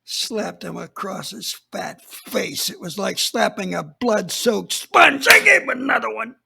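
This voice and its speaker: A man narrating a hard-boiled detective story in the first person, gritty and deliberate. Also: sits high, sing-song, gravelly, bright, forceful tone, slightly rough.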